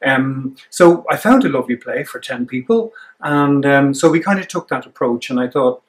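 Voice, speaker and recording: An Irish man in his sixties, a theatre director, talking reflectively in an interview and pausing mid-sentence to find his words. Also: medium pitch, animated, rough recording.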